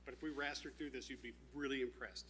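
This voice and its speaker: A man presenting his company's plans at a town zoning meeting, speaking informally with many fillers and restarts, and a loud voice by his own account. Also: medium pitch, fairly even intonation, quick pace, background noise. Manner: says he has a loud voice.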